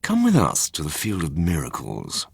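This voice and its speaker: A man's voice: extremely low pitch, wildly swooping pitch, normal speed, no room sound, clean studio sound, heavily acted. A man voicing a sly, persuasive fox swindler in a storybook. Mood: coaxing. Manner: smooth-talking, persuasive.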